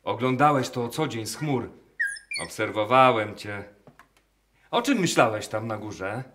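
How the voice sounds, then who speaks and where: Slow, officious SS scientist. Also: male voice, on the low side, expressive, noisy background. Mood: obsessive, coaxing. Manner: formal, deliberate, precise. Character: obsessive German SS ornithologist, officious, menacingly tender with birds. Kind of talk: radio drama monologue. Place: performed live in a wooden barn.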